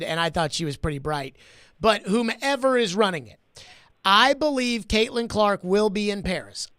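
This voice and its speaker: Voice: mid-range voice, fairly bright tone, clear, resonant tone, dry recording, studio quality. A sports talk host arguing opinions emphatically and repeating phrases to drive the point home.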